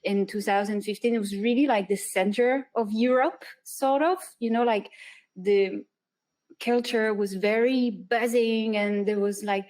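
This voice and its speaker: A woman's voice: mid-range voice, steady tone, unhurried, a little mellow. A woman, a guest chatting in an interview in a hesitant, thoughtful way and laughing often. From France. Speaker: guest illustrator and animator. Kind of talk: casual interview chat.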